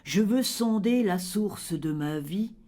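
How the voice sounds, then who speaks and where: A reader recites poetry at an extremely slow pace in a mid-range voice. The delivery is solemn and dramatic, each line carefully measured. Phrases often sink into a hush at their close. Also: mid-range voice, expressive.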